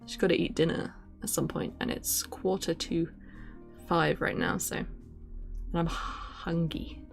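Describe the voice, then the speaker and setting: A young woman streaming a game, chatting casually and rambling with self-mocking asides and little hummed tunes, her voice deeper than many women's. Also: big pitch swings, unhurried, creaky, soft background music. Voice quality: fairly deep for a woman. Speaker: livestreamer.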